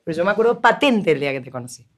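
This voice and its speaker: Argentine woman, middle-aged, animated storytelling, podcast studio mic. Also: on the low side, racing. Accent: Argentine Spanish. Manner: expressive, animated storytelling, frequent laughs. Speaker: guest actress. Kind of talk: casual podcast conversation. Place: small podcast studio with neon lights. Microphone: studio mic on boom arm.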